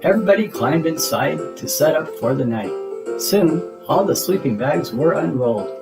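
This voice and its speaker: A man reading a children's book aloud in an expressive storytelling style, acting out the dialogue. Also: fairly high pitch, normal speed, gravelly, over music. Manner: animated, acts out character dialogue.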